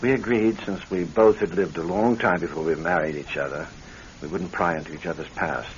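An actor playing a considerate, slightly jealous husband, soothing and a little halting, in an old radio drama. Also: male voice, low voice, natural voice. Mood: conceding, tender. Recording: muffled, noisy vintage radio recording.

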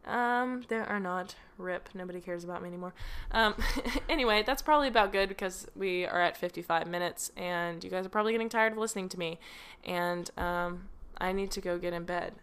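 A woman's voice: lowish voice, fairly quick, few pauses, fairly bright tone, smooth. A young woman hosting her own podcast from home, rambling casually with lots of fillers and self-deprecating asides, drifting on and off her microphone. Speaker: podcast host. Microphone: home microphone, drifting away from it.

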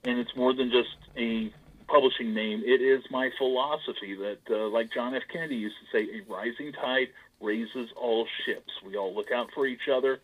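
A man, an author, chatting loudly and with lots of animation as an interview guest, laughing and acting out the voices in his stories. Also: mid-range voice, moderate pace, phone-quality sound. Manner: loud, animated storyteller, laughs often; acts out quoted voices. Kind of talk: casual interview chat.

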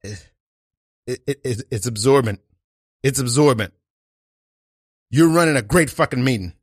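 A man playing an intense, swearing man at a support-group meeting who loudly declares his love for everyone. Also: medium pitch, lively intonation, measured pace, dry recording, clean studio sound. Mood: wounded. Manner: loud, swearing, overly emotional declarations of love. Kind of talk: improvised comedy scene.

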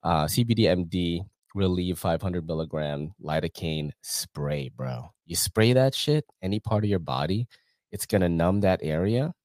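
Brief: male podcast host, low voice that swings around a lot, loose and slangy, close dry mic. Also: lively intonation, normal speed, darkish tone, no echo. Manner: loose, laughing, cursing, slangy. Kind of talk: casual live podcast ad read.

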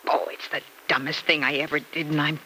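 A woman's voice: low voice, flat delivery, a little fast, bright, forceful tone. An actress playing a sarcastic, bitter young woman with slangy speech in an old-time radio soap. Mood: remorseful. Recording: noisy vintage radio recording.

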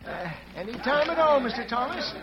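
A folksy, talkative western station master speaks high and very animatedly, eager to oblige. It is old radio acting on a dull recording with a crowd behind. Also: male voice, lively intonation, fairly quick, over loud music. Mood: friendly, chatty.

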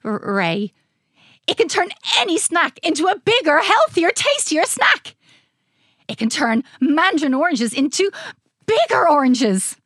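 An eccentric old man of science , acted out by a woman for a children's story. The voice is high and leaps about in pitch, stammering and exclaiming with great excitement, recorded close and dry. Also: measured pace, dry recording, exaggerated voice. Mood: excited boasting.

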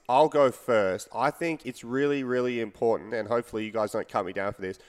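A man's voice: medium pitch, smooth. Young male co-host, non-American English accent, chatty and repetitive, reverberant sound. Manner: chatty, repeats words for emphasis. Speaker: podcast co-host. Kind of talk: casual sports chat.

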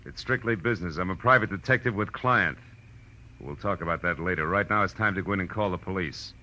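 An American actor playing a cocky, hard-boiled private detective in 1940s radio noir, with a wry, clipped and sardonic delivery. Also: male voice, low-pitched, brisk, sharp tone. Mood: sardonic, pressing. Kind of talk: 1940s radio noir acting, first-person narration and dialogue. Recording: dull vintage radio recording with music.

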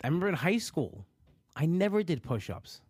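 A man's voice: fairly high pitch, very animated, a little fast, a little mellow, slightly rough, dry recording. A man with a stuffed-up nose chatting casually to viewers about his weight loss, rambling with many restarts. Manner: rambling, frequent restarts and "you know". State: stuffed up from allergies. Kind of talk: casual livestream chat reading viewer comments.

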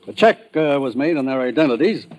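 An actor playing an authoritative government commissioner in an old radio drama, briefing gravely and giving urgent orders. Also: male voice, mid-range voice, lively intonation, fairly quick, lightly acted. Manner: grave, commanding. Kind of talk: 1940s-50s radio drama acting.

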